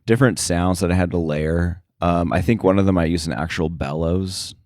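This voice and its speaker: Man, deep voice, dry close sound, casual interview talk, halting delivery. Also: darkish tone, dry recording. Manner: halting, thinking aloud, frequent filler likes. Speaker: sound editor, interview guest. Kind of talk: casual interview, recounting work.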